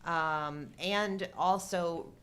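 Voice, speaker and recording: A middle-aged woman with a fairly low voice and a slow, unhurried pace. She talks casually as a live-show co-host, joking with a deadpan delivery. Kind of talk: casual humorous movie-discussion chat.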